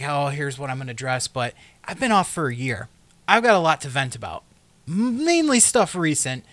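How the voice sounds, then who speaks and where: A man hosting a live talk show, chatty, excitable and self-deprecating, a little nervous. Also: mid-range voice, no room sound. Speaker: host.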